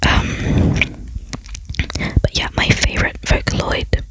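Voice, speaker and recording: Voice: slow pace, poor audio. Whispering young adult, casual ASMR-style ramble. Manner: whispering throughout, rambling and self-deprecating.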